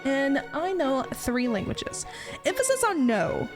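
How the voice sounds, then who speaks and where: A woman's voice: sits high, very animated, a little slow. A woman in her twenties with an American accent, a native Spanish speaker, chatting casually and playfully as a streamer introducing herself. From Ohio, United States. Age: 20s. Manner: playful, giggly, self-deprecating. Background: music.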